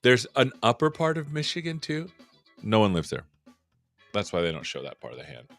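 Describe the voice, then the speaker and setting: A man's voice: lowish voice, huge pitch swings, fairly quick, fairly bright tone, soft background music. Upbeat, joking male show host. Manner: jokey, rambling and upbeat. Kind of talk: casual live-stream chat.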